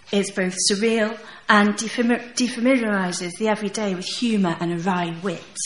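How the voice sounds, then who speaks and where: A woman's voice: mid-range voice, a bit bright. A woman host reading a prepared introduction of a poet from notes, with frequent hesitations. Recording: echoey room with faint noise.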